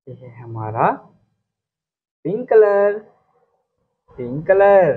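A man's voice comes through a thin, phone-like recording. He speaks very slowly, with a husky tone, as he teaches small children. He repeats names and addresses the children directly. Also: sits high, sing-song. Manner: repetitive, instructional, addressing children. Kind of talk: simple lesson for young children, repeating names. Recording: muffled, narrow phone-like sound.

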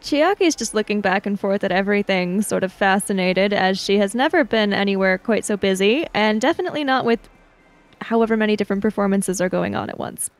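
A woman's voice: medium pitch, brisk. A woman player in a tabletop roleplaying game, casually describing her character in the third person.

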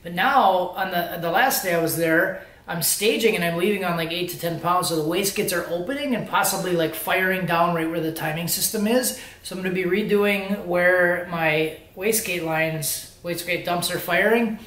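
A man's voice: high-pitched, moderate pace, few pauses, fairly bright tone. A man in his thirties talking casually to the camera in a home garage, explaining things informally as a car-build vlogger. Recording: roomy, echoing garage sound.

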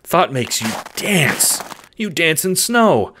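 Man playing an excitable, simple-minded dog, swooping pitch. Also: slow pace, a little hiss or hum, exaggerated voice. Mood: loving, giddy. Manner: broken baby-talk sentences, thinking aloud with barks.

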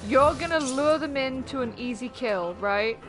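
A young woman with a Yorkshire accent chatting casually as a streamer while playing, excitable, swearing and yelping at the game. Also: sits high, normal speed. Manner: excitable, swears, yelps in pain and shrieks. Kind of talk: casual gaming chat. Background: game music.

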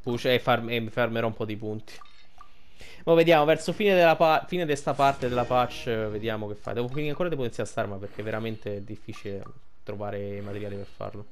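A man's voice: mid-range voice, fairly quick, slight background noise. A man giving casual, informal playthrough commentary as a gaming streamer, thinking aloud.

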